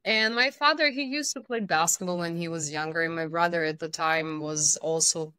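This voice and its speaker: A woman in her thirties from Bosnia, a guest telling stories in a casual interview with lively, expressive delivery. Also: on the low side, normal speed, clean tone, dry recording, clean studio sound. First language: Serbian. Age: 30s. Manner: animated, expressive storytelling.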